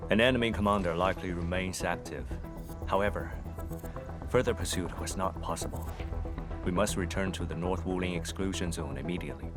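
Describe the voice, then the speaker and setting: A man plays a stern male task force soldier in a video game. His voice sits low, with formal, clipped, matter-of-fact delivery. Music plays clearly behind him. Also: a little fast, close to an everyday voice. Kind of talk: video game cutscene acting.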